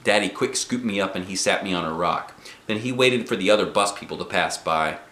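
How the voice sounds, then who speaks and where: A man's voice: low-pitched, a little fast, sharp tone, echoey room. A man reading a children's book aloud as a dad would, with playful, theatrical expression. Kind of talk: animated storybook narration.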